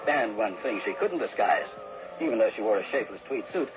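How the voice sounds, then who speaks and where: Tinny old-radio actor as wry private detective. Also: male voice, on the low side, lively intonation, fairly quick, natural voice. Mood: wry, admiring. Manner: wry, hesitant asides. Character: wry, flirtatious private detective telling his own story. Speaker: actor narrating and playing the lead. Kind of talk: 1960s detective radio drama acting. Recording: tinny old radio recording, music behind.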